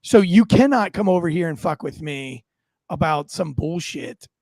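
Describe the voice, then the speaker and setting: A man's voice: on the high side, animated, normal speed, darkish tone, no echo. A man on a podcast, ranting casually with lots of swearing and restarts. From United States. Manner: rambling, swearing, many restarts. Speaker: podcast co-host. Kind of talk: casual profane rant.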